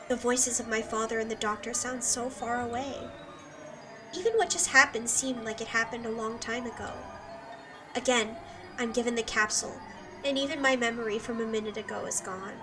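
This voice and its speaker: A woman's voice: a little high, steady tone, normal speed, far from the mic, over music, natural voice. An actress voicing an unhinged, guilt-ridden teenage girl in a dubbed anime drama, obsessively repeating apologies as she swings between whispers and screams. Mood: hollow.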